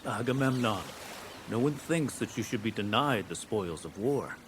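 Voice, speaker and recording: An actor playing an earnest Greek counselor to a king in a video game, measured and persuasive. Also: lowish voice, expressive, noisy background, close to an everyday voice. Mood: urging. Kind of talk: dramatic video game cutscene acting.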